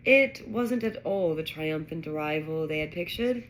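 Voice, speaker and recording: A woman narrating a children's fantasy story aloud in an expressive reading style. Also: deep voice, normal speed, a little hiss or hum, poor audio. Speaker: audiobook-style reader.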